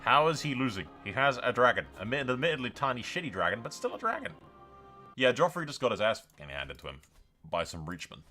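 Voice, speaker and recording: A man's voice: medium pitch, big pitch swings, quick pace, pushed and bright, soft background music. A man giving casual, blokey game commentary, swearing freely and addressing his viewers. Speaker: gaming video host.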